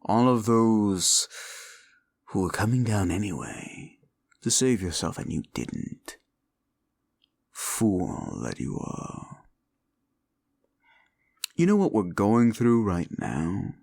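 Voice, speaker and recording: A man voicing a scornful gravelly demon. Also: fairly low pitch, very animated, a little mellow, no room sound, heavily acted. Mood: gloating. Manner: slow, mocking and condescending. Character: scornful male demon bound by a wish contract. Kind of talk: audio roleplay.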